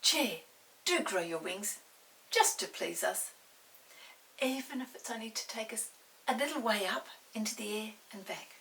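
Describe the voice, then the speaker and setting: A woman's voice: fairly high pitch, lively intonation, unhurried, long pauses, sharp tone, voice pushed up, exaggerated voice. A woman voicing an eager, earnest young child in a storybook, exclaiming in a raised voice. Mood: eager, pleading. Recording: distant mic with hiss.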